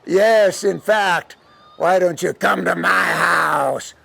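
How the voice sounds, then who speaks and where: A male storyteller acts out a devil from a folktale, posing as a friend. He talks in a coaxing, stretched-out way with plenty of gravel, sly and false. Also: high-pitched, sharp tone, no room sound, heavily acted. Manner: drawn-out, coaxing delivery. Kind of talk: live oral storytelling.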